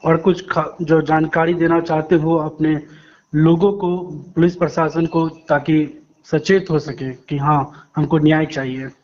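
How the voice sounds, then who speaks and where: A man's voice: fairly high pitch, level tone, a little slow, darkish tone. A man with a Bihari accent asking questions as a news interviewer over the phone. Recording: dull lo-fi call recording.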